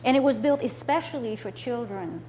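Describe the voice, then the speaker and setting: A woman in her sixties from France, speaking in a high voice as she walks young listeners through a slideshow, pointing and asking if they can see. A faint hum sits behind her. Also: expressive, moderate pace. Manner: gentle, explaining and pointing at pictures. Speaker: children's author. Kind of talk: slideshow talk for children.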